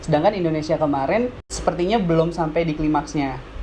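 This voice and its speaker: Woman, twenties, low mellow voice, hesitant, slight background noise. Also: low-quality recording. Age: 20s. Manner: measured, hesitant, many "eh" fillers. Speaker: interviewee. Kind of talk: interview answers.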